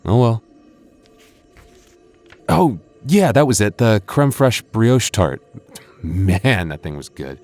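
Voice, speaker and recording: A man's voice: fairly low pitch, animated, takes it slowly, pauses often, darkish tone, no room sound, faint music behind. A man voicing a playful, teasing young goth boyfriend in an ASMR roleplay, often stammering and chuckling. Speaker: voice actor.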